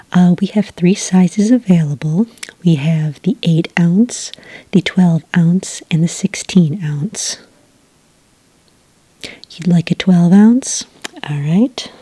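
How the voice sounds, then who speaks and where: A woman plays a friendly cafe witch in an ASMR roleplay. Her voice is low, mellow and breathy, mostly a soft whisper. She explains things gently and patiently, close and dry. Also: dry recording. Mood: helpful, gentle. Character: friendly helpful witch serving at a magical cafe. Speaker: cafe server taking orders.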